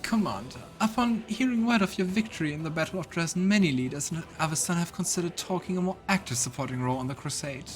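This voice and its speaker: Man putting on a courtly female diplomat, raised pitch. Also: fast talker, hardly any pauses, natural voice. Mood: formal, persuasive. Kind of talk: voiced game dialogue.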